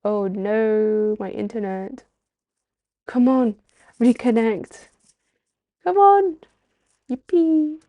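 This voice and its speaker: A woman's voice: fairly high pitch, very slow, long pauses, highs cut off. A woman streamer chatting casually on a livestream while playing a story game.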